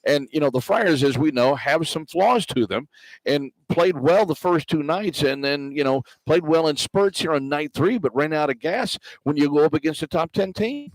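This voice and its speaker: A man's voice: fairly high pitch, lively intonation, normal speed, dry recording, poor audio. A man working as a radio play-by-play broadcaster, talking through a post-game wrap-up in a conversational way.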